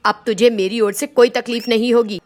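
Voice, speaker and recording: Lady doing a mean village stepmom, scornful, bossy, highish voice, storybook drama. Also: steady tone, moderate pace, a little mellow, lightly acted. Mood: cold, dismissive. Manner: harsh, threatening, scornful. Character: cruel, scolding, greedy village stepmother.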